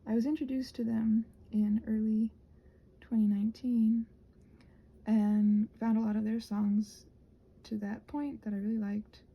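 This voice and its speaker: A soft-spoken woman in a casual, rambling chat while she crafts, meandering and interrupting herself as she murmurs along. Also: on the high side, flat delivery, measured pace, slightly rough, muffled recording. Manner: meandering, digressive, self-interrupting. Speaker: craft vlogger and audio creator.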